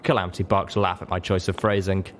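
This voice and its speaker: Low-pitched man reading a dramatic story. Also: little pitch movement, quick pace, a little hiss or hum. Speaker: narrator and reader. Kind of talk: dramatic audiobook narration.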